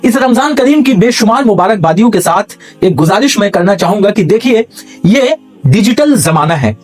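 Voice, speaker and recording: High-pitched earnest man over music. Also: big pitch swings, normal speed. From India. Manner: earnest, emphatic, repeats points in lists. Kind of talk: earnest public appeal to camera.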